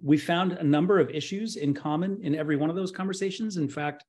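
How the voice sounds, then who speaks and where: A middle-aged man giving an online slide presentation in halting, pause-filled phrases. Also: fairly high pitch, darkish tone, breathy edge. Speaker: conference presenter, nonprofit co-founder. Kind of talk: slide presentation at a virtual expo. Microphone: webcam on a video call.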